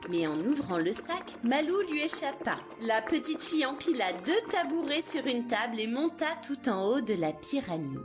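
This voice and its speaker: Animated high-voiced woman storyteller. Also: normal speed, few pauses. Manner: expressive, dramatic storytelling. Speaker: children's storyteller. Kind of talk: scripted fairy-tale narration. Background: music behind the voice.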